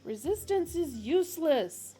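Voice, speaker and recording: A woman reading a story aloud and voicing a hulking, dim-witted alien guard who booms slowly and loudly. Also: sing-song, faint music behind, full character voice. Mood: booming. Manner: booming, slow-witted.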